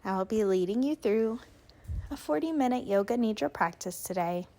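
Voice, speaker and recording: A woman leading a guided yoga nidra relaxation, giving soothing, unhurried instructions with long pauses. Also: on the high side, expressive, dark tone.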